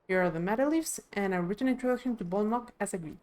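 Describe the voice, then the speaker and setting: A woman's voice: mid-range voice, quick pace, a little mellow. A woman reading video game dialogue aloud expressively on a live stream.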